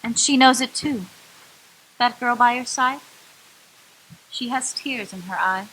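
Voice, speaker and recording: A woman acting out a solemn spirit guide in a radio play, with a high, bright voice. Her speech is measured and stern, and there is a faint hiss behind it. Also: poor audio. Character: solemn female ghost guiding a miser.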